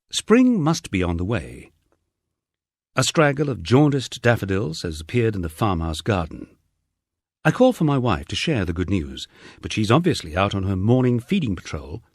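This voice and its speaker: A man with a husky voice reading a wry first-person memoir as scripted audiobook narration, dryly humorous and wordy. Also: lowish voice, dry recording, studio quality.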